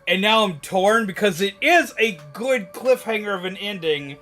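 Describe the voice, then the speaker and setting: A man livestreaming, chatting casually and rambling to viewers in an animated, emphatic, opinionated way. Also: sits high, moderate pace, soft background music. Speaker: live streamer. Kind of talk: casual rambling chat with viewers while gaming.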